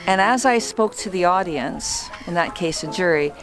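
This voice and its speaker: A woman who once worked as a trial lawyer, coaching viewers on public speaking in a calm, deliberate and well-projected voice. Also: lowish voice, music in the background, rough recording. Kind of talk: instructional talk to camera.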